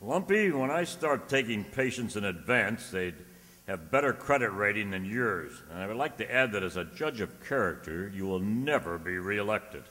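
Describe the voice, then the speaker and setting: A man plays a pompous family doctor in a radio comedy, his voice fairly low. He is dry, wordy and sharp-tongued with his jibes. A long hall echo surrounds him. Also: lively intonation, normal speed. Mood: mocking. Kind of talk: old-time radio comedy acting. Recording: echoey hall stage recording.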